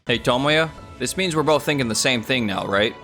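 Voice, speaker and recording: A man's voice: mid-range voice, expressive, a little fast, sharp tone, faint music behind. A man voicing an earnest, conciliatory young office worker in an English manga dub, sincere and persuasive.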